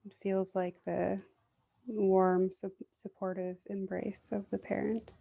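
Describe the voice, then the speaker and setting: A woman leading a guided meditation in a gentle, soothing, unhurried way, leaving long pauses. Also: mid-range voice, little pitch movement, vocal fry.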